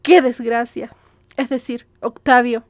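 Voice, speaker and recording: A woman voicing an aging, sickly mother who flatters and pleads in an audiobook drama, with ingratiating, dramatic exclamations. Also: on the high side, unhurried, no echo, lightly acted. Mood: dramatic dismay. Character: aging, ailing, scheming mother, fawning and wheedling.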